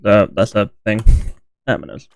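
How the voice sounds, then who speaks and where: A man's voice: fairly low pitch, level tone, normal speed, no room sound. A young British man with a British accent, chatting and teasing friends while streaming a game, laughing often. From Britain. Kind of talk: casual gaming chat.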